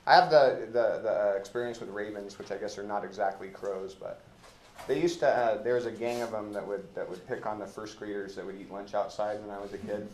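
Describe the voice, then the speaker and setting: A man's voice: on the low side, darkish tone. An American man leading an informal classroom discussion of a play, rambling and joking as he feels his way through. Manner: halting, rambling, colloquial. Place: indoor classroom. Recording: roomy classroom echo, background hiss.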